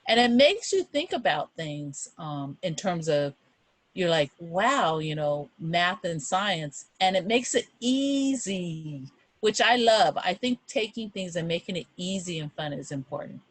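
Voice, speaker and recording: Middle-aged woman, unhurried pace, close dry sound, emphatic, encouraging explanations for kids. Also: fairly low pitch, very animated, dry recording, rough recording. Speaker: guest reader. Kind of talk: explanatory chat addressed to children and families.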